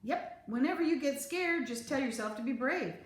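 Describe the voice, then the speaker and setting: A woman's voice: high voice, normal speed, a little hiss or hum, voice pushed up. A woman reading a storybook, voicing a kind, reassuring male fire captain with an encouraging manner. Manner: encouraging, calm. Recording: roomy echo.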